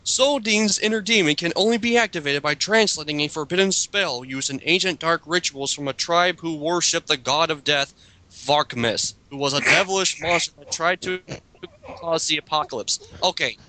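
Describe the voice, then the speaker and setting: Bright-voiced, exasperated man in casual commentary. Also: a little high, moderate pace, low-quality recording. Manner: exasperated, sweary. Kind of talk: casual group commentary and reading aloud.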